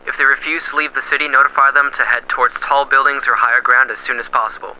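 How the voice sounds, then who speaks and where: A man playing a calm police dispatcher giving orders over the radio. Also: mid-range voice, fast talker, rough recording. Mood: steady. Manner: crisp, procedural radio talk. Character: calm male police dispatcher, steady and procedural. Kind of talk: amateur radio drama acting.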